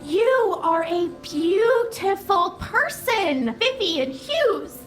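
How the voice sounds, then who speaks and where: A woman voicing a dreamy, literal-minded female AI computer, speaking slowly and flatly in a comedic audio drama. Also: very high-pitched, soft background music, exaggerated voice. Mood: deadpan. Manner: slow, flat, oddly literal delivery.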